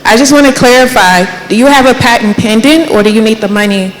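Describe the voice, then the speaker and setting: A woman's voice: fairly high pitch, quick pace. A woman from the United States, an attorney, asking direct, confident questions from the audience. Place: large conference hall. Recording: echoey conference hall.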